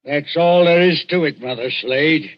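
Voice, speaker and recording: An actor playing a swaggering, bullying Cockney grave robber in a blustering 1940s radio drama style. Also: male voice, on the high side, moderate pace, lightly acted. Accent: English Cockney. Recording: muffled 1940s radio broadcast, faint music.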